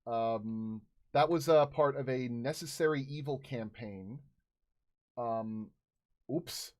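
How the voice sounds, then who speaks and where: A man's voice: medium pitch, slow, darkish tone. A man in his thirties chatting casually as a livestream host, hesitant and apologetic in manner. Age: 30s.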